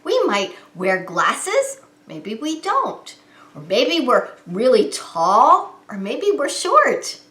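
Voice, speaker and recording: Woman, preschool teacher, children's read-aloud, very lively intonation, simple expressive explanations, echoey room. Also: on the high side, takes it slowly. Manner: expressive, simplified talk addressed to young children. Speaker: preschool teacher and story time host. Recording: roomy, echoing indoor sound.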